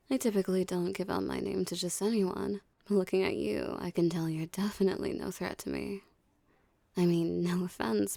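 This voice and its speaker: A woman's voice: fairly low pitch, dark tone, no echo. A woman playing a dominant, teasing mafia boss in an ASMR roleplay, speaking with cool, condescending flirtation. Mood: flirtatious, amused. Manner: smooth menacing flirtation, condescending, unhurried. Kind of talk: ASMR roleplay, scripted character acting.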